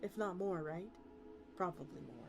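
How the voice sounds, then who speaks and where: A woman's voice: lowish voice, animated, moderate pace, gravelly. Woman streamer reading aloud over music. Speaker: VTuber streamer. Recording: music playing behind the voice. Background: background music.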